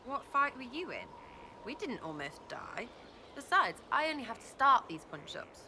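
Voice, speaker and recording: An actress playing a brash, irreverent young streetwise rebel in a video game, with a British accent and blunt, cheeky, choppy phrasing. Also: female voice, fairly high pitch, big pitch swings, normal speed. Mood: defiant, scornful. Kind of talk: video game dialogue acting. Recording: clearly noisy ambient background.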